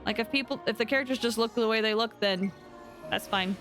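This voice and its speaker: A woman's voice: a little high, a little fast. A woman streaming a game and chatting casually with viewers in an informal, rambling way. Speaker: live streamer playing a game. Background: game music.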